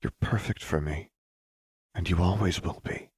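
A man's voice: low voice, moderate pace, breathy edge, no room sound, heavily acted. A caring young woman acted by a male narrator, worried and tender. She pleads and reassures with earnest concern, in a close, dry audiobook recording.

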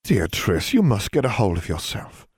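A man's voice: fairly low pitch, huge pitch swings, moderate pace, darkish tone, breathy edge, no room sound, studio quality, exaggerated voice. A man voicing a gracious older noblewoman who speaks kindly and formally. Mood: gentle. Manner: kind, formal.

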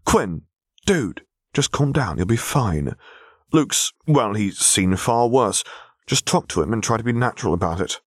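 A man's voice: fairly low pitch, lively intonation, measured pace, breathy edge, dry recording, studio quality. A man voicing an exasperated, urgent and protective young man in an audio story. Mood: urgent reassurance.

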